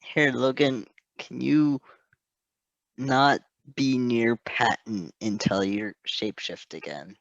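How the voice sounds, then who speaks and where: A woman's voice: very deep voice, slow, no echo. A woman giving casual reactions and banter as a co-reader, on a slightly dull recording.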